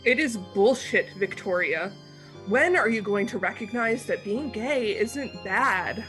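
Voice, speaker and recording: A woman acting a steady, loving but insistent young sorority member in a dramatic reading, firm and intimate in manner. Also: mid-range voice, measured pace, faint music behind. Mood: frustrated. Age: young adult.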